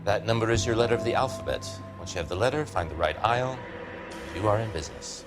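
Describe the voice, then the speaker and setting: Actor as a low-voiced, methodical records clerk. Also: male voice, lively intonation, fast, lightly acted. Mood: helpful, procedural. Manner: formal, matter-of-fact. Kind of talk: video game drama acting. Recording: music under the voice.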